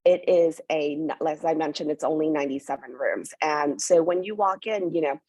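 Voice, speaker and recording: Woman, from New York, eager, many fillers, husky, dry close sound. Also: deep voice, moderate pace, a little mellow, dry recording. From born in Hong Kong, raised in New York. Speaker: hotel representative. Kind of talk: promotional interview.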